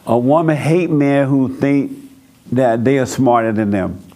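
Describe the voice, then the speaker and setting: A man's voice: mid-range voice, measured pace, mellow. A man hosting a church counseling session, talking bluntly and preachily with a dialect, often laughing at his own points. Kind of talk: blunt counseling talk to a congregation.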